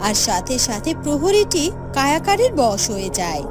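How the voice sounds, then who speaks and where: Very high, animated woman narrator. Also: normal speed. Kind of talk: scripted fairytale cartoon narration. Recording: loud music bed. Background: music.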